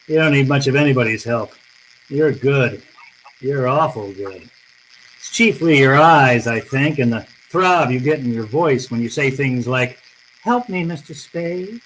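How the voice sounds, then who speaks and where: A man's voice: medium pitch, expressive, measured pace, a bit bright. Man playing a wry hard-boiled detective, noisy recording. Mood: amused, skeptical. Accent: American. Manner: slangy, wry, world-weary. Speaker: actor narrating and playing the lead. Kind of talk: old-time radio noir acting.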